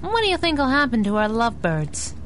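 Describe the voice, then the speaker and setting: A woman playing a plucky, sharp-witted young masked superhero sidekick in 1930s radio adventure style. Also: on the high side, big pitch swings, brisk, a little hiss or hum. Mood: wry, tender.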